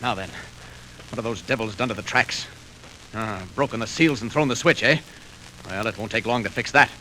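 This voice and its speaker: An actor playing a confident, resolute superhero who thinks aloud in clipped phrases in an old radio serial. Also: male voice, on the low side, bright, forceful tone. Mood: intent, resolute. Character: heroic, resolute male superhero, brisk and confident. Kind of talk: 1940s radio serial acting. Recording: very noisy old radio recording, rushing sounds.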